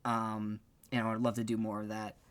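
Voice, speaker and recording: A man's voice: on the low side, monotone, moderate pace, clear, resonant tone. A man talking casually on a podcast in a rambling, self-deprecating way. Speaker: podcast member and amateur voice actor.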